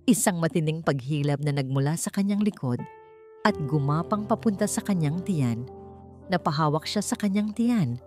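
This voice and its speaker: A woman with a low voice narrates a scripted drama as a storyteller. Faint music plays behind her. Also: lively intonation, normal speed, dark tone.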